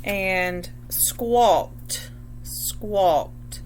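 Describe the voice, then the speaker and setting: A woman teacher reading a story aloud to children, deliberately and instructively, stopping to explain key words. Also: medium pitch, darkish tone, a little hiss or hum, rough recording.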